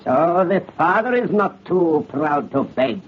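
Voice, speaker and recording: An actor playing a merciless French revolutionary butcher in an old radio horror play, sneering with mock politeness and theatrical commands. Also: male voice, a little high, expressive, unhurried. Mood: gloating, mocking. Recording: muffled noisy vintage radio recording, crowd behind.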